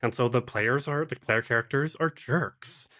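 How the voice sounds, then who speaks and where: A man's voice: medium pitch, moderate pace, pushed and bright. A man in a casual interview as a guest, choosing his words with care and some hesitation. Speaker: podcast guest, game master.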